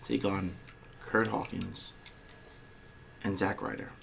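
A man co-hosting a casual podcast, bantering playfully, with a slight tickle in his throat that keeps making him clear it. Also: low-pitched, little pitch movement, slow pace, a bit bright, a little hiss or hum. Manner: playful, boastful self-introductions. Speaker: podcast co-host.

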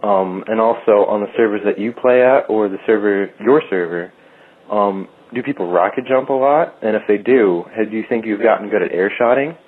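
A man's voice: fairly low pitch, normal speed. A man leaving a casual, slightly rambling voicemail as a listener calling in. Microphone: telephone. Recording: muffled, tinny phone call.

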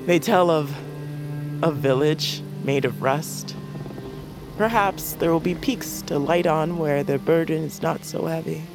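An actor playing a world-weary, fatalistic wanderer in an audio drama, speaking tersely in archaic, poetic phrases. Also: male voice, on the high side, measured pace, creaky. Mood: bleak, brooding. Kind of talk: audio fiction drama acting. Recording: dramatic music underneath.

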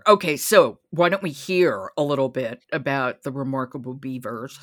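A woman's voice: very deep voice, moderate pace, a bit bright. A woman hosting a podcast, chatting casually about books. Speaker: podcast host. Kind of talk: casual conversational book discussion.

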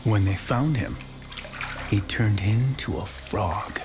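A man's voice: lowish voice, slow. An actor playing a middle-aged, folksy father in an audio horror drama, talking colloquially like a storyteller. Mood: playful, teasing. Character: folksy joshing father, growing drowsy and frightened. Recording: music and splashing water behind. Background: music, bathwater.

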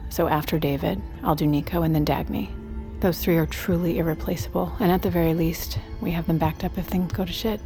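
A woman's voice: on the low side, fairly even intonation, fairly quick, few pauses, over music, lightly acted. A woman playing a cautious scientist in a naturalistic audio drama, speaking carefully and with some hesitation. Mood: careful, placating. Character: cautious female scientist placating a domineering leader.

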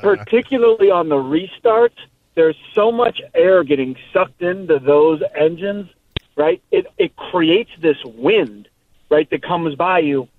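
Male phone-in caller, notably high register, thin telephone sound, casual and vividly descriptive. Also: lively intonation, measured pace. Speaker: radio show caller. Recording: muffled narrow phone line.

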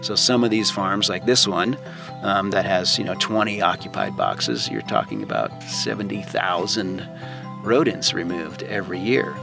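A man with a voice a little on the low side speaks as a researcher. He explains his fieldwork in informal interview talk. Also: animated, normal speed, creaky, music in the background.

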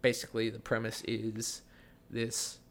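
A man's voice: fairly low pitch, monotone, measured pace, fairly bright tone. A man with a watered-down Australian accent, chatting as a podcast guest about his acting and the school theatre program he runs, rambling with plenty of fillers. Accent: Australian, softened. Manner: rambling, with many fillers and restarts. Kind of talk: casual podcast chat.

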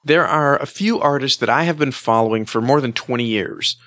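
A man's voice: medium pitch, a little fast, heavy background noise. A man hosting a music magazine show, speaking as presenter and interviewer. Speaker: host and interviewer.

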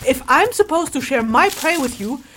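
A woman's voice: high voice, animated, moderate pace, fairly bright tone, a little hiss or hum. A woman acting as a stern, practical non-human huntress in a fantasy audio drama, blunt and exasperated with humans.

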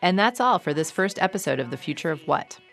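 A woman's voice: lowish voice, expressive, creaky, soft background music. A woman hosting a radio interview show in a studio, chatting easily and reading a scripted sign-off. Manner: quick reactive interjections, easy conversational. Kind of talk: interview chat and scripted outro.

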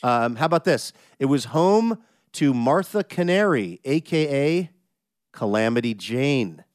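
Male American radio host, mid pitch, slightly scratchy, conversational. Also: sing-song, a little slow, no room sound, studio quality. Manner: casual, conversational. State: slightly scratchy voice, under the weather. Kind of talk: scripted radio intro, casual banter.

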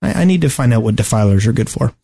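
Halting man chatting casually about games. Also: medium pitch, lively intonation, vocal fry, mellow, breathy edge. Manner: halting, stammering. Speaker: podcast co-host. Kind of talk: casual gaming chat.

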